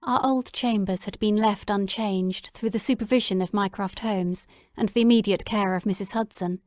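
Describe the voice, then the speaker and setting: A woman narrating a classic story in a measured, descriptive way. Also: mid-range voice, fairly even intonation, darkish tone, dry recording. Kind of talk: audiobook dramatic reading.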